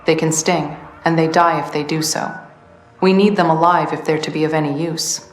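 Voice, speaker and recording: A woman playing a caring settlement leader in a video game, speaking in a measured, concerned manner. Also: low-pitched, fairly even intonation, echoey room, faint music behind, natural voice, rough recording. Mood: grateful, earnest. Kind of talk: scripted video game dialogue.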